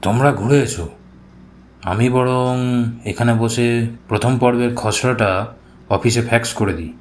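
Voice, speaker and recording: Man acting a courteous gentleman, faint background noise. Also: mid-range voice, measured pace, lightly acted. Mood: matter-of-fact. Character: courteous gentleman journalist busy with his draft. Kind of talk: dramatized audio story dialogue.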